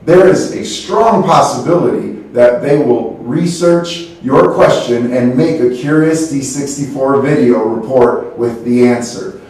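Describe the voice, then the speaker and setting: A man co-hosting a school morning broadcast, reading announcements in a steady, formal way. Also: medium pitch, measured pace. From United States. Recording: echoey room, distant mic.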